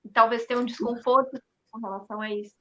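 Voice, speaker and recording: A woman talking reflectively as an interview guest, haltingly, thinking aloud with frequent checking tags. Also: a little high, steady tone, sharp tone, muffled recording. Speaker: theatre company member, interview guest.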